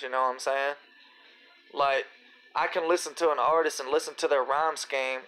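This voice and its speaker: A man with a slightly raspy voice, hosting a casual live Q&A, reading viewer comments and answering in a laid-back, rambling way with slang. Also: on the high side, a bit bright, poor audio.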